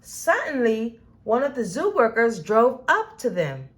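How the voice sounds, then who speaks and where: A high-pitched woman narrates a children's story. Her delivery is slow and deliberate, with very animated intonation that rises and falls expressively. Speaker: storybook reader. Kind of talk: children's read-aloud narration.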